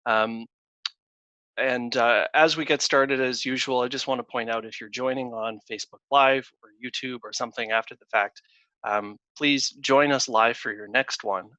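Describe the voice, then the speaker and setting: A man hosting a casual webinar over a video call, talking in a halting way with many fillers and restarts. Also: medium pitch, steady tone, bright, forceful tone, dry recording. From Canada. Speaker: webinar host, consulting firm founder. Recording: dull lo-fi video call audio.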